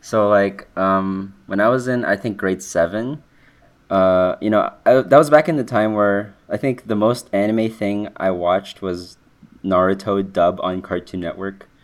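A man's voice: lowish voice, unhurried. A young man from the Philippines with a nasal voice, chatting casually on a podcast, rambling and correcting himself. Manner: rambling, self-correcting, lots of likes. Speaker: podcast co-host. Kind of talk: casual chat.

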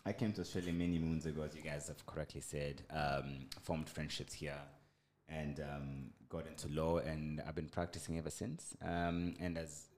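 A man's voice: extremely low pitch, level tone, normal speed, a little mellow. A middle-aged man from Kenya with a Kenyan accent, a lawyer guest telling stories in a casual chat and laughing often. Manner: laid-back storyteller, laughs often.

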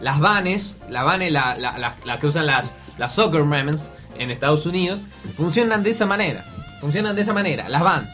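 A man's voice: a little high, animated, fairly quick, bright, forceful tone, soft background music, pitched below the performer's own voice. A man playing a vain singer from Lanús who puts on an Italian accent and rambles pompously about his neighborhood. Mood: pompous rambling. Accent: put-on Italian over Argentine Spanish. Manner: rambling, pompous, stumbling over words. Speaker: guest musician character.

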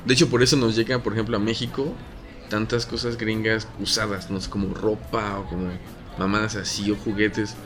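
A man's voice: lowish voice, flat delivery, a little fast, over music. A man with a Mexican accent co-hosting a casual podcast chat, slangy and joking, rambling with restarts. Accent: Mexican Spanish. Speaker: podcast co-host.

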